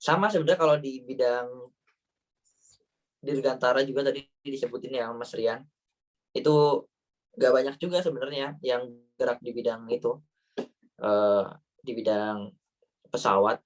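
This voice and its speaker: A man in his thirties with a Banyumas Ngapak accent, talking in an easygoing, meandering way, full of fillers and pious asides. His voice sits at an ordinary pitch and comes across as somewhat distant from the microphone. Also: measured pace, long pauses. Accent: Banyumas (Ngapak) Javanese-Indonesian. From Indonesia. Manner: casual, with frequent fillers and "wallahu alam". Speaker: guest answering viewer questions. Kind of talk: relaxed talk show chat.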